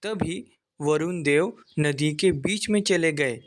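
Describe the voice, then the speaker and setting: A man's voice: fairly high pitch, takes it slowly. A man narrating a scripted children's folktale as the storyteller.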